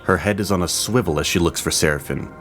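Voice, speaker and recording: A man narrating a fantasy audio drama, reading with expressive, dramatic pacing. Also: deep voice, fast talker, faint music behind. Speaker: storyteller.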